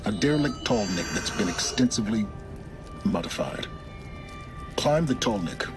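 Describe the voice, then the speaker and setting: An actor playing a secretive, self-serving middle-aged man who guides with measured, cryptic authority in a video game. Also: fairly low pitch, lively intonation, natural voice. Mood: measured, scheming. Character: secretive, commanding male informant serving his own interests. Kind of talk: dramatic video game acting. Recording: music behind the voice.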